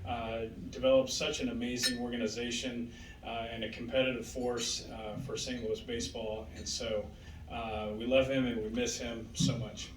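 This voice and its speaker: A man sincerely paying tribute to his late team leader, with halting fillers. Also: medium pitch, flat delivery, heavy background noise. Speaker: former player giving a shout-out. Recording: roomy hall, voice from far off.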